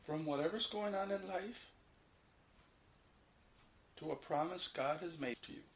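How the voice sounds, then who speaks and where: A man leading a guided meditation, giving unhurried, repeated instructions with long pauses between them. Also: fairly high pitch. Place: informal indoor room. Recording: roomy echo, faint background noise.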